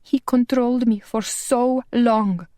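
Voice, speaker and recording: Woman voicing a distraught young woman, shaky, tearful, higher voice, storybook audiobook. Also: fairly even intonation, slow, mellow, dry recording, clean studio sound. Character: tearful young woman freed from a villain's control.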